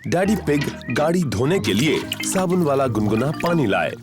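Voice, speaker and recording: A man's voice: fairly high pitch, big pitch swings, normal speed, loud music behind the voice. A man playing a friendly storytelling narrator, explaining things simply for a children's cartoon.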